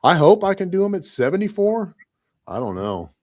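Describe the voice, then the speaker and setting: A man's voice: sits high, sing-song, moderate pace, darkish tone. A man in his fifties from Michigan, talking in a rambling, reflective way in a casual podcast chat. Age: 50s. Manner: rambling, reflective, often says "you know what I mean".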